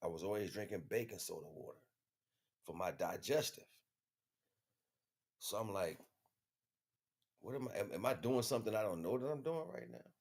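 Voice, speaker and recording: A man's voice: fairly low pitch, normal speed, creaky, pauses often. A man talking in a casual, rambling monologue, telling stories in slang and often checking that the listener follows. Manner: slangy, animated storytelling with frequent check-ins. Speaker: livestream host.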